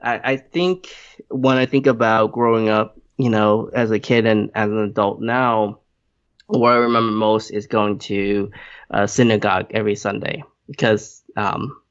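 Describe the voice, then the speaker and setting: A man's voice: lowish voice, little pitch movement, a little slow. Male guest, loose casual talk, fillers, joking, dull lo-fi sound. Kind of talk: casual conversation.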